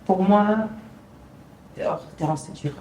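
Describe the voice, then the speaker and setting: Female journalist guest, earnest and reflective, phrases fade softly at the end, very echoey room, mic set back from her. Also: on the low side, slow pace, a little hiss or hum. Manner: earnest, reflective, drops to a soft level at phrase ends. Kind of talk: motivational interview talk. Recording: reverberant room, distant mic.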